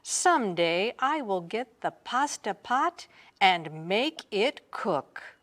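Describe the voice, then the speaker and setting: A woman's voice: mid-range voice, very animated, slow pace, a bit bright. A woman voicing a foolish, boastful young male servant from a storybook, eager and emphatic. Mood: indignant.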